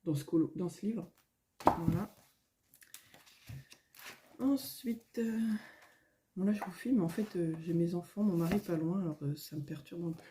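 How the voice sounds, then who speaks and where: A middle-aged woman, a little hoarse, chatting casually at home in a vlog, rambling with many fillers and restarts. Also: fairly low pitch, a little slow, pauses often, warm tone, slight background noise. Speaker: coloring vlogger. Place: at home, indoors.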